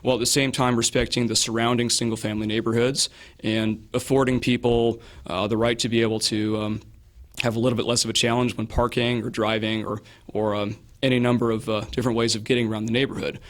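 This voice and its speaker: A hoarse man answering interview questions as a local candidate, measured and hedging, with frequent fillers and restarts. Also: mid-range voice, flat delivery. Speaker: political candidate.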